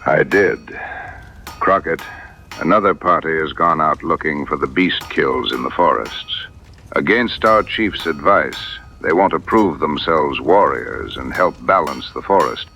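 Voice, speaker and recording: A man playing a proud, solemn warrior and hunter in an audio drama, speaking formally and deliberately in a gravelly voice. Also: a bass voice, sharp tone, slight background noise, heavily acted. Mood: solemn, earnest.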